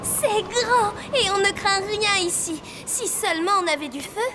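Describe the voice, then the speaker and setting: A woman playing a wry, practical teenage girl horse rider in a French animated series. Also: very high-pitched, measured pace, over music. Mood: matter-of-fact.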